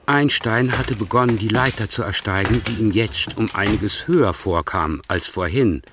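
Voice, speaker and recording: An actor playing the narrator of a radio play, reading literary prose in a measured, precise way. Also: male voice, fairly low pitch, background noise, natural voice.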